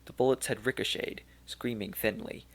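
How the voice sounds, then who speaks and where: A man with a low, gravelly voice reads a story aloud. His delivery is steady and unhurried, the plain tone of an audiobook narrator. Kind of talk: scripted narration.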